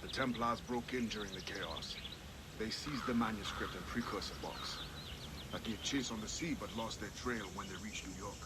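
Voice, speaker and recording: A man voices a grave, weary assassin speaking in measured tones. Game ambience with birds and outdoor noise sits under the voice. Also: fairly low pitch, natural voice. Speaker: game character.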